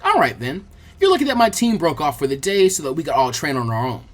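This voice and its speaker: Teenage kunoichi for audio story, played by a narrator: self-assured, mocking, lively intonation. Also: medium pitch, fast talker. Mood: amused taunting. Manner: taunting, amused, condescending. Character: teasing, confident teenage kunoichi girl, condescending. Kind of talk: expressive fan fiction character reading.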